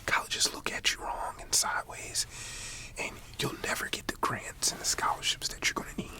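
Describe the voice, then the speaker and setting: A man playing a stern but caring high school principal in a whispered ASMR roleplay, scolding a student in informal, folksy language with a breathy voice. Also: moderate pace, close to an everyday voice. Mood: stern, warning. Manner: informal, admonishing, folksy phrasing.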